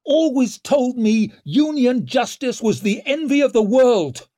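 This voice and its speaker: A man performs a hot-headed young lord for an audiobook. He is blunt and declamatory, raised well above a normal speaking pitch, with a strained edge that breaks as he pushes. The delivery is slow and swooping. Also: lively intonation, warm tone, no echo, studio quality. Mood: indignant, shouting. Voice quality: broken, strained when raised. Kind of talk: audiobook character acting.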